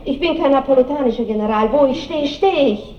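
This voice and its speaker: A woman's voice: sits high, brisk, lightly acted. An actress playing an aristocratic married lady in a radio play, tender, teasing and commanding toward her lover, refined and theatrical. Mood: haughty, excited. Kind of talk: mid-century German radio play acting. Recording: echoey, muffled old radio recording with hiss.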